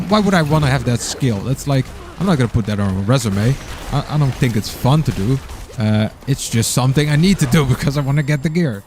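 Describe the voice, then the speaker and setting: A man's voice: medium pitch, a little mellow, a little hiss or hum. Dutch-accented man rambling over gameplay. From Netherlands. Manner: rambling, searching for words. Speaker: game streamer. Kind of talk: casual gameplay commentary.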